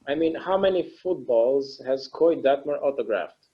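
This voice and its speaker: A weary, wistful man played by a male actor talks in a halting, everyday way, as in an intimate stage drama. His mid-pitched voice comes through a slightly dull recording. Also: animated, lightly acted. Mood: wistful, relieved. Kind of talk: conversational table-read acting.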